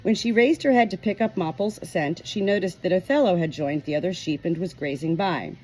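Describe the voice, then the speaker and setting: A woman reading a novel chapter aloud in an expressive storytelling manner, giving short quoted lines a light change of voice. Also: fairly low pitch, brisk, slight background noise, poor audio. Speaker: audiobook reader.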